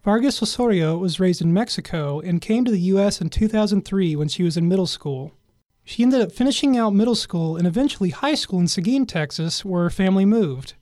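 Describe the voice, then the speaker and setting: Guy reading a news piece, pretty high voice, dry close sound. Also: animated, darkish tone, no room sound. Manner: measured reading. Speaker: news reporter narrating. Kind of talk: scripted news narration.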